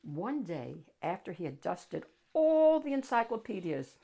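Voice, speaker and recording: A woman reading a picture book aloud to children in an animated, expressive storytelling style with dramatic emphasis. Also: on the low side, a little slow, creaky.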